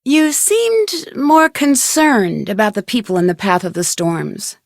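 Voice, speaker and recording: A woman's voice: medium pitch, unhurried, vocal fry, no echo, studio quality. A woman playing an inquisitive, polite young female amateur detective in a video game, asking questions in a curious way. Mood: polite, earnest. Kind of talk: video game dialogue acting.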